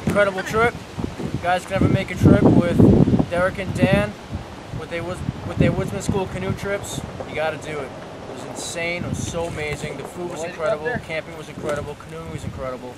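A man's voice: a little high, fairly even intonation, fairly quick, distant mic. A man in his thirties talking casually as an outdoor vlogger, picked up by a camera microphone at a wooded riverside. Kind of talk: casual trip vlog talk. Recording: windy, noisy outdoor camcorder sound.

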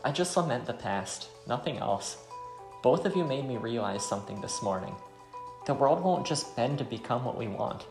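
A grieving but determined woman archer from a visual novel, read by a man in a medium male pitch. The delivery is creaky, in a roomy space with faint music. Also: expressive, normal speed, natural voice. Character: grieving, determined young woman archer from war-torn homeland.

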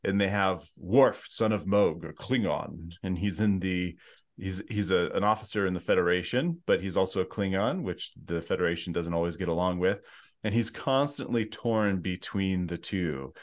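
A man in his thirties with a lowish voice talks into a large studio microphone from a home office. He tells stories in an animated way and jokes at his own expense. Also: moderate pace. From United States and France. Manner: animated storyteller, self-deprecating humor. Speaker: historical fiction author. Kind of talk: casual chat. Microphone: large studio mic with pop filter.

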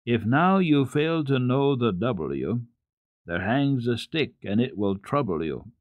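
A man voicing an earnest, kindly little girl who coaxes and recites in a measured sing-song for a storybook. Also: medium pitch, very animated, no echo. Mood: reciting.

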